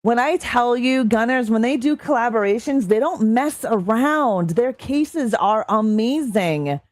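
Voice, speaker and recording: Streamer: young woman from Canada, excitable and chatty with lots of fillers, voice a bit strained, dry close sound. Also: fairly high pitch, a little slow, no echo. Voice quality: slightly strained voice. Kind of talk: casual live-stream chat reading chat.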